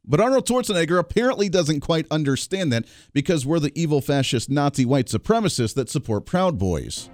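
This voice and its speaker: A man's voice: a little high, very animated, darkish tone, dry recording. A man hosting a political talk radio show, giving opinionated and sarcastic commentary between played clips. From Kansas area, United States. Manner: opinionated, sarcastic, rapid asides.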